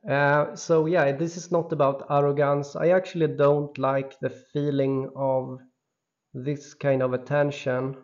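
A man from Sweden with a Swedish accent, speaking in a halting, insistent voice-over monologue full of restarts. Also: a little high, little pitch movement, darkish tone, dull audio. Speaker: video creator.

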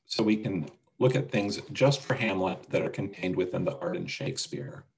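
A man leads a casual software demonstration over a video call. His voice is on the low side with noticeable vocal fry. He explains each step in a plain, instructional way. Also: moderate pace. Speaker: webinar presenter demonstrating a website.

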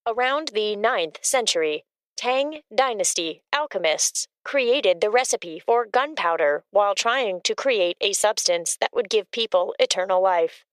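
A woman giving scripted facts as a co-host, precise and deadpan. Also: mid-range voice, a little slow, clear, resonant tone, no echo. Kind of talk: scripted informational asides.

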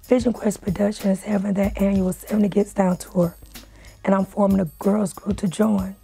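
A hoarse middle-aged woman playing a bossy music producer running a girl-group rehearsal in a small studio. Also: mid-range voice, level tone, moderate pace, warm tone. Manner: bossy, exasperated, repeatedly calling cut. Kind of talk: amateur film acting. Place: small dark recording studio.

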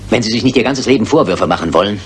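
An actor playing a shrewd, self-assured detective with a commanding, formal manner in a German radio crime drama. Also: male voice, on the low side, animated, rapid-fire, husky, soft background music. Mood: urgent, firm. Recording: noisy old radio play recording.

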